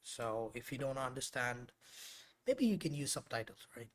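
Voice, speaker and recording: A man's voice: medium pitch, animated, normal speed. Guy hosting a live coding stream, urdu is his first language, chatty and rambly, lots of uhs. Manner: chatty and rambling, with frequent fillers and self-corrections. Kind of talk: casual live-stream talk to viewers.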